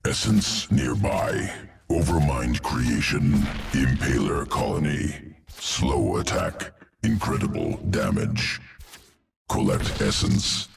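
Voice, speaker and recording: A man's voice: rumbling low, very animated, takes it slowly, over music, full character voice. A man playing an ancient, cold, menacing alien creature in a video game, with a guttural voice and clipped pronouncements. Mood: clinical, detached. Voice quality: gravelly, guttural. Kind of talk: video game cutscene acting.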